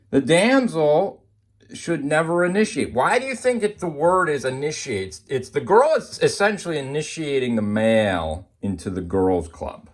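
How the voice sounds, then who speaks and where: A man's voice: fairly high pitch, a bit bright. A man giving an informal explanatory lesson as an amateur Bible teacher, often checking in with the listener. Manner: repeatedly checks in with "okay?".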